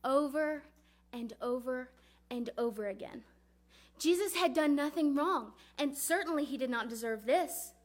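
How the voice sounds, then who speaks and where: A woman performing a dramatic religious monologue as a grieving, devout mother recalling her son, speaking earnestly and deliberately. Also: high voice, lively intonation. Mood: sorrowful. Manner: earnest, reverent, deliberate storytelling.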